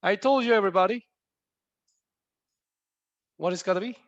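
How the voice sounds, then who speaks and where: A man's voice: high-pitched, moderate pace, dry recording, dull audio. A young man from Korea with a Korean accent, a native Korean speaker teaching a language lesson in English, playful and quizzing his viewers with repeated questions.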